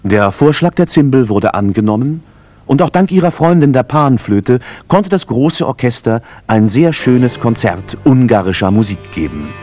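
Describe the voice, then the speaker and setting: A man's voice: mid-range voice, expressive, normal speed, darkish tone. A man narrating a children's musical story expressively.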